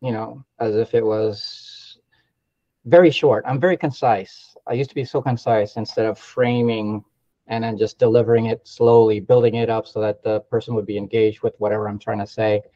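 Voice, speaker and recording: A man from the Philippines chatting casually on a remote call as a mentee, haltingly thinking aloud. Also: lowish voice, fairly even intonation, warm tone. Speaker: mentee learning real estate investing. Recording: muffled call audio with room echo.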